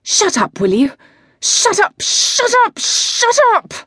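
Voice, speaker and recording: A woman voicing a young female track cyclist, tense and volatile, her voice high and strained. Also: very animated, slow, a little mellow, slightly rough, dry recording, studio quality, heavily acted. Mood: exploding.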